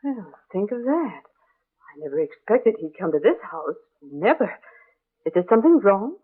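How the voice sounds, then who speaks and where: Jumpy superstitious neighbor lady, high voice swinging all over, fretful, old muffled radio horror show. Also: sing-song, a little slow, husky. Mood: uneasy, nosy. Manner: breathless, dramatic, fretful exclamations. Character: nervous, superstitious neighbor woman, easily terrified.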